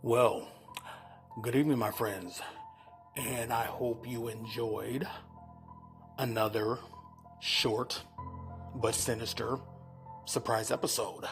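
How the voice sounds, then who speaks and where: Male podcast host, mid-pitched, dramatic pauses, presenting vintage radio plays, clear music underneath. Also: steady tone. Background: music behind the voice.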